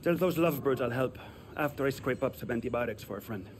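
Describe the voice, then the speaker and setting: An actor playing a wry, weary young field medic in a video game, with a sardonic manner. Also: male voice, medium pitch, fast talker, natural voice. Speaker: doctor. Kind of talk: video game cinematic acting. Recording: noisy ambience behind the voice.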